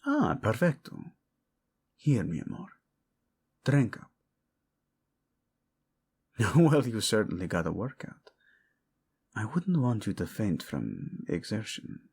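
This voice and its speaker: A man acts out a devoted royal lover in a romantic audio drama. His delivery is slow and deliberate, with big swings in pitch. He is intimate and teasing, yet protective and used to giving orders, dropping in Spanish endearments. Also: sing-song, gravelly, a little mellow, breathy edge, full character voice. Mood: tender, teasing. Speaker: voice actor. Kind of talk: romantic audio roleplay drama addressed to the listener.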